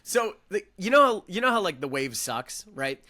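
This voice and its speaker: Bright, animated man on a sports podcast. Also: high voice. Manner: enthusiastic, quick to laugh, animated. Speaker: podcast co-host. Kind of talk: casual sports chat.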